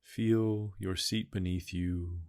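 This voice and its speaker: A man leading a guided meditation, speaking in slow, soothing phrases and repeating gentle cues. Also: low voice, fairly even intonation, clear, resonant tone.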